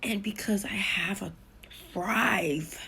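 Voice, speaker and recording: A middle-aged woman telling a personal spiritual story in a hushed, whispery, rambling way. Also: medium pitch, slow, gravelly, breathy edge. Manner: rambling, repeats herself.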